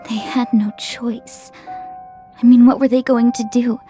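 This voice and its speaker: A woman plays a timid, earnest young woman in a horror visual novel game. Her voice is high and soft, hesitant and a little breathy, with music playing underneath. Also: level tone, unhurried, natural voice. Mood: subdued, thoughtful.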